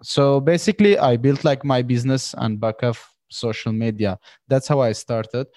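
A man's voice: medium pitch, normal speed, darkish tone, no room sound. Young man, twenties, from syria, earnest casual talk, dry close recording. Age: 20s. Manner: earnest, many fillers like "you know". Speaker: podcast guest. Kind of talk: casual conversation.

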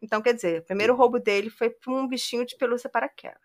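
A woman's voice: on the high side, racing. A woman hosting a podcast, recounting a true-crime case in a casual conversational way. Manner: conversational retelling with frequent "né". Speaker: podcast host.

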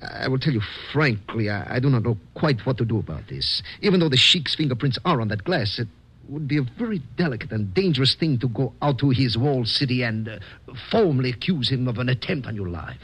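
A man's voice: mid-range voice, animated, brisk, fairly bright tone, husky. A man playing a cautious, formal foreign police lieutenant in an old-time radio drama, speaking with careful hesitation. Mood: grave, hesitant. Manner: formal, hesitant, uncontracted phrasing. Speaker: supporting actor in a radio drama. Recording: faintly noisy vintage radio recording.

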